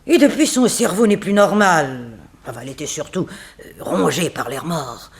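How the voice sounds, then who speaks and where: A woman playing a composed, unsentimental figure in a 1960s French radio mystery, telling things dramatically with weighted pauses. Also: fairly low pitch, expressive. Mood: somber. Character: composed, unsentimental woman recounting a family tragedy. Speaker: actress in a radio serial.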